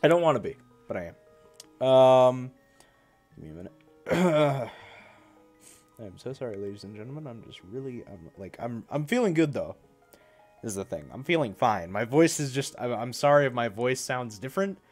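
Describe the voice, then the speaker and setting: A young man talks casually to his live stream, sounding stuffy and congested from a cold. He rambles, stops and restarts himself as he talks. His voice sits at a middle pitch, with faint game music playing behind him. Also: lively intonation, moderate pace, a little mellow. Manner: rambling, self-correcting, chatty.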